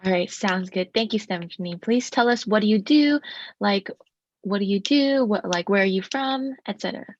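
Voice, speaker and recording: A woman's voice: mid-range voice, normal speed, muffled recording, a little hiss or hum. A woman interviewing a guest, asking casual questions and rephrasing them with "like".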